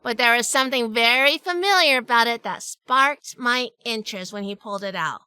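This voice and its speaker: A woman's voice: on the high side, fairly quick, sharp tone, clear, resonant tone, no room sound. A woman playing a shrewd, sassy, hardboiled heroine narrating a detective audio drama. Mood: suspenseful. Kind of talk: first-person detective drama acting and narration.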